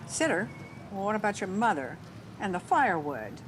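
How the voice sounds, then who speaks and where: A woman's voice: medium pitch, very animated, moderate pace, a little hiss or hum, natural voice. A woman playing a wry, wisecracking single woman with dry, sardonic delivery in an old-time radio comedy. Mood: wry amusement. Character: Miss Brooks, wry, wisecracking single woman, a cat owner.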